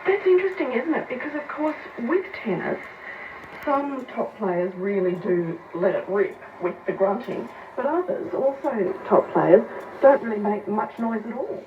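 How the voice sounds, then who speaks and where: A woman's voice: fairly high pitch, very animated, unhurried, slight background noise. A woman interviewing in a conversational radio style, heard muffled and echoey through distant radio playback.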